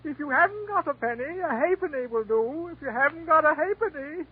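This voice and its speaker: Woman as a very high-pitched Christmas beggar. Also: expressive, brisk. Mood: pleading chant. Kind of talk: 1940s radio acting. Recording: tinny old radio broadcast.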